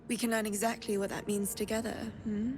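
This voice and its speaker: A woman playing an eerie, imperious blood trader with morbid curiosity in a video game, speaking in a measured, silky, haughty way. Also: mid-range voice, level tone, background noise, natural voice. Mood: silky, intrigued. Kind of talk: dramatic video game acting.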